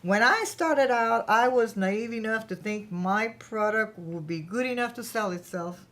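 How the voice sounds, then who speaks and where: A woman's voice: on the high side, unhurried, a bit bright. A woman playing a proud, ambitious, chatty founder of her own company in a staged play reading. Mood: enthusiastic, candid.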